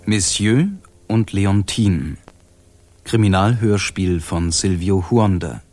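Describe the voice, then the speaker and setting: A man's voice: deep voice, takes it slowly, a little mellow. A man formally announcing the credits of a radio drama.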